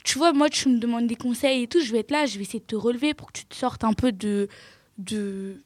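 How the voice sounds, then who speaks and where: A teenage girl talking casually and bluntly, her voice often dropping quietly at the ends of phrases. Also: a little high, brisk, no echo, studio quality. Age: teens. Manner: blunt, outspoken, trailing off quietly. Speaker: teen guest. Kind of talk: casual discussion.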